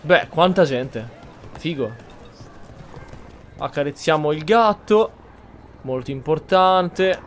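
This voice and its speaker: A man's voice: on the high side, lively intonation, takes it slowly, long pauses, faint music behind. A man from Italy giving roleplay commentary as the host of a gameplay video. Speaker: gamer, channel host.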